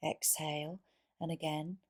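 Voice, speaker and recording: A woman leading a guided yoga nidra meditation in a soothing, measured way, pausing between short phrases. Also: deep voice, level tone.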